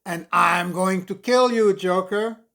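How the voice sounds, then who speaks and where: A man's voice: sits high, takes it slowly, vocal fry, sharp tone, voice pushed up, heavily acted. A man voicing a boisterous eight-year-old boy playing superhero, with shrieking, bold declarations in a storybook reading. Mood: fierce, excited.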